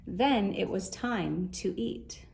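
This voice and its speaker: A woman reading a rhyming children's story aloud in a measured, expressive way. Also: medium pitch, gravelly, a little hiss or hum. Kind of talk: scripted storybook narration in rhyme.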